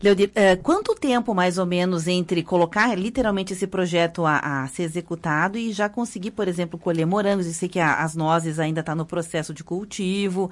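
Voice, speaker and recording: Slightly low-voiced Brazilian woman interviewer. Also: normal speed, hardly any pauses. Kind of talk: conversational interviewing.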